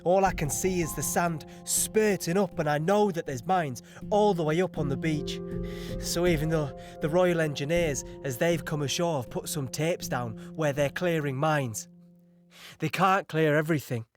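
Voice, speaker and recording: A man's voice: a little high, moderate pace, music in the background, close to an everyday voice. A man playing an earnest, fearful British soldier in an audio play, recounting battle in a reflective and emphatic way. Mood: urgent, fearful. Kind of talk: dramatic first-person monologue in audio play.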